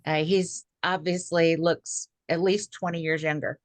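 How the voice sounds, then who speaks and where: A woman in her sixties talks casually on a video call, leaning on "um" fillers. Her voice sits low. The sound is dry and close. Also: steady tone, a little slow, dry recording. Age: 60s. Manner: chatty, many "um" fillers. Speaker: guest speaker.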